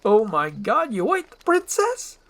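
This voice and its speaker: An excitable donkey sidekick from a cartoon, acted out by a woman reading the script. He talks freely and bluntly and spooks easily. The voice leaps about in pitch in a lively, exclamatory way. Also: moderate pace, exaggerated voice. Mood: panicked. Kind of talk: animated film script read aloud.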